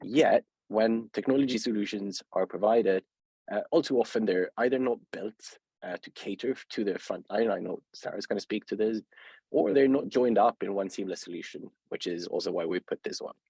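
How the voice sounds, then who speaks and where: A man's voice: fairly low pitch, moderate pace, warm tone, slightly rough, no room sound. A man with a Swedish accent hosting a webinar interview, speaking hesitantly with many fillers and restarts. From Sweden. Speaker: host, company founder.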